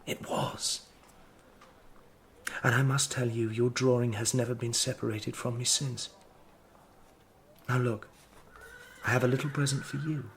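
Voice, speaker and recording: A man playing an earnest young English art teacher, Victorian audio drama, lowish voice, literary phrasing, devoted. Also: steady tone, a little slow, long pauses, a little hiss or hum, lightly acted. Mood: tender, coaxing. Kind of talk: audio drama narration and acting.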